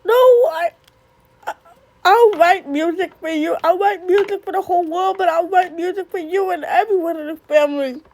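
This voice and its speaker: A distraught young son, performed by a woman in an emotional family drama. The voice is high and urgent, pleading with his mother in raw, insistent phrases. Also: moderate pace, a little mellow. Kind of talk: dramatic solo monologue acting.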